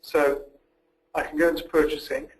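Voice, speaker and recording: A man giving a methodical, step-by-step walkthrough as a software demonstrator in a recorded webinar. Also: a little high, level tone, normal speed, low-quality recording. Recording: noticeable background noise.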